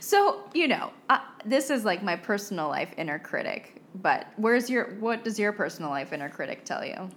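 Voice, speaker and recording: A woman's voice: mid-range voice, lively intonation, moderate pace, hardly any pauses, a bit bright, a little hiss or hum. An American woman co-hosting a podcast in casual chat, speaking in a joking, self-deprecating way. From United States. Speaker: podcast co-host.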